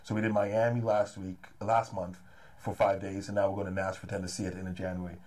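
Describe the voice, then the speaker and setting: A man in his thirties from the Los Angeles area, a promoter chatting eagerly as an interview guest, often tacking "man" onto his sentences. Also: sits low. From Los Angeles area, California. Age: 30s. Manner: fast, energetic, repeats "man" often. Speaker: comedy and hip-hop promoter, guest. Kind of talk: casual interview chat.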